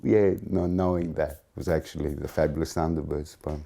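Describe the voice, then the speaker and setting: A Greek-born man in his fifties with a Greek accent, reminiscing in a casual interview with halting restarts. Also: rumbling low, steady tone, creaky, warm tone. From Greece. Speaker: interviewee, blues musician.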